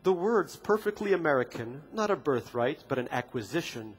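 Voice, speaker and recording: A man's voice: on the high side, big pitch swings, normal speed, slightly rough, low-quality recording. A man reading aloud from his own memoir at a literature festival, with a dry, ironic delivery and comic timing. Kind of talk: reading aloud from a memoir, wry deadpan humor. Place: festival stage before an audience.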